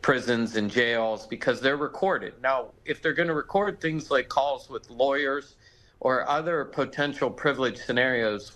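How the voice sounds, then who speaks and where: An American man speaks as a legal expert in a live news interview. His mid-pitched voice is conversational and explanatory, like a lawyer laying out an argument. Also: bright, forceful tone, poor audio.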